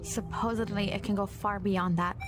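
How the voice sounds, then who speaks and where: An actress playing a composed, precise intelligence operative with a formal, factual manner in an audio drama. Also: female voice, medium pitch, fairly even intonation, normal speed, music in the background, close to an everyday voice. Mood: composed, businesslike. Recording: clearly noisy ambience behind.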